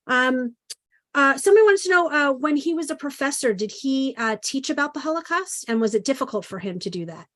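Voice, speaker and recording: A woman's voice: sits high, expressive, fairly quick, a bit bright. A woman moderating a video call interview, relaying audience questions with some fillers.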